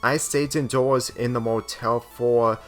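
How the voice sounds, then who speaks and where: A man's voice: mid-range voice, steady tone, a little slow, natural voice. A man voicing a guarded, sheltered young rich girl in a fan fiction story, dry and reserved. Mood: dry, miserable. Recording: music under the voice.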